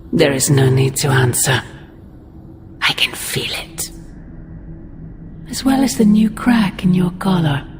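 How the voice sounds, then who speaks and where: A woman's voice: deep voice, noisy background. A woman playing a menacing, imperious ancient sorceress mother in a video game, with a sardonic, theatrical delivery. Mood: sinister, gloating. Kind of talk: dramatic video game acting.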